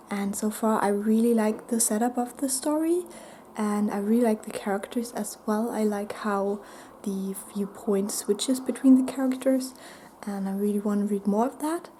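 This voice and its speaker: A woman chatting casually to camera in a vlog, hoarse and half whispering because she has nearly lost her voice, soft and halting with many pauses. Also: mid-range voice, slight background noise. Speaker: book vlogger.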